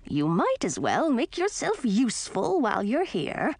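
A woman voicing a cranky, rude witch aunt with a raspy, lizardy voice in a storybook reading. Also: on the high side, huge pitch swings, a little slow, a little mellow, no room sound, pitched above the performer's own voice, heavily acted. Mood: gruff, scornful. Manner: barking, grumbling, dismissive.

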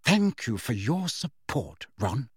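A bossy, righteous schoolgirl in her teens, acted out by a male storybook reader. The voice is pitched up, forceful and scolding, with lively swings. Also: moderate pace, breathy edge, no echo, studio quality, heavily acted. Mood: acid, edgy. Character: bossy indignant teenage schoolgirl, righteous and stern.

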